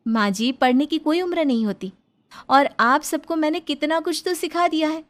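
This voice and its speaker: A woman's voice: high voice, moderate pace, pitched above the performer's own voice, lightly acted. Woman acting firm young village teacher, cartoon daughter-in-law. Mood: earnest, encouraging. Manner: firm, earnest, persuasive. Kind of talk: cartoon moral story dialogue.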